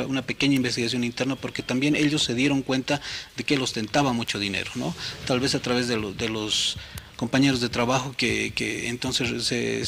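A man, a police major speaking in a TV studio interview, official in tone, often pausing and adding "no?". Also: mid-range voice, vocal fry, fairly bright tone, slight background noise. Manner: measured, official, many hesitations and tag "¿no?". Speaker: police major, anti-corruption unit chief.

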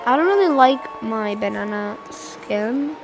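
Young teen girl, high voice, mild cold, rambling gameplay chatter over game music. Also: expressive, measured pace, rough recording. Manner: chatty, rambling, addresses viewers directly. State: slightly sick with a cold. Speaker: gaming channel co-host. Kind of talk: casual gameplay commentary.

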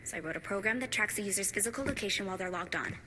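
A woman's voice: mid-range voice, racing, background noise, lightly acted. An actress playing a blunt, antisocial young female hacker in a video game, curt and matter-of-fact. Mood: blunt, deadpan.

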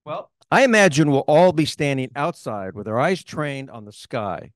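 Middle-aged man, lively up-and-down voice, jokey, handheld mic, dry sound. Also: fairly high pitch, moderate pace, no echo. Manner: jokey, animated, quips between scripted lines. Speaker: co-host. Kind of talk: scripted corporate town hall chat. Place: studio set in front of a screen.

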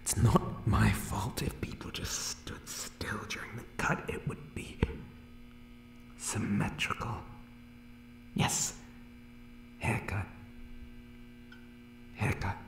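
A man voicing an unhinged, hair-obsessed monster barber in a horror ASMR roleplay, muttering broken, repetitive phrases in a creepy, pleading, breathy whisper. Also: lowish voice, fairly even intonation, slow pace, pauses often, roomy sound, poor audio. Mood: hungry, creepy.

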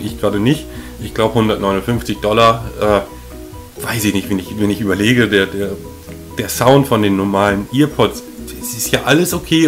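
Casual young man chatting with fillers. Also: lowish voice. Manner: relaxed, hesitant, many fillers, mildly sarcastic. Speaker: tech vlogger. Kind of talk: casual talk to camera. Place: living room, seated on a sofa by a window.

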